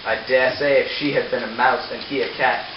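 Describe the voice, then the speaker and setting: A man's voice: medium pitch, steady tone, a little fast, background noise. A man reading a scripted storybook narration for a puppet show. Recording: echoey, dull lo-fi room with music. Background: music.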